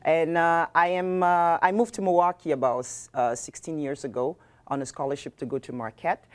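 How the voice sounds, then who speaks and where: A middle-aged woman from Cameroon with a Cameroonian accent, a restaurant owner giving warm, halting cooking advice as a guest in a studio interview. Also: sits low, steady tone. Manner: warm, halting, many fillers.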